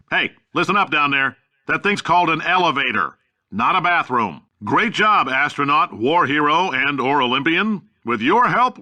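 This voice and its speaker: A man's voice: medium pitch, sharp tone. A man playing a brash, blustering middle-aged American science tycoon in a video game, delivering announcements with folksy, cocky, blunt salesman's patter. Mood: pompous, salesmanlike.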